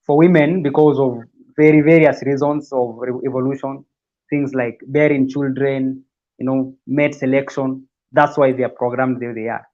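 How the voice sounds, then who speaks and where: A man from Kenya explains training as a livestream guest. His voice sits in an ordinary middle pitch and comes through somewhat dull and lo-fi. He talks casually and often repeats words as he works his way through a point. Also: fairly even intonation, moderate pace, a little mellow. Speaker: fitness guest.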